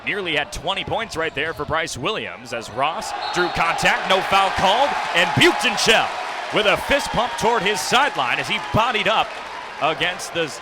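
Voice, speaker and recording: A young man calling live basketball play-by-play on the radio with quick, descriptive calls that can rise to an excited shout. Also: fairly high pitch. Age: young adult. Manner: rapid descriptive calls, rising to excited shouts on big plays. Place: indoor basketball arena. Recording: noisy arena broadcast with bouncing balls. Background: loud game noise, basketball bounces.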